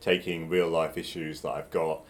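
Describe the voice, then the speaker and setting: A man hosting a podcast, relaxed and affirming, often chiming in with quick "yeah, yeah, yeah"s. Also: rumbling low. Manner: relaxed, affirming interviewer, many yeah-yeahs. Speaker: podcast host. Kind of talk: casual podcast interview.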